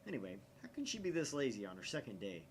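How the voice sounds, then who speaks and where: Visual novel lead, male reader: paranoid young mad scientist, theatrical, animated delivery, slightly noisy home recording. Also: on the low side, fast. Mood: wry inner monologue. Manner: theatrical, suspicious, dramatic whispers and outbursts.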